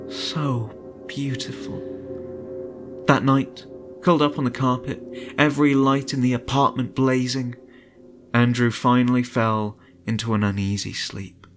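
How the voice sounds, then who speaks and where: A man narrating a horror story in a dramatic, suspenseful and deliberate audiobook style. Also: mid-range voice. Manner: suspenseful, deliberate, theatrical delivery. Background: music.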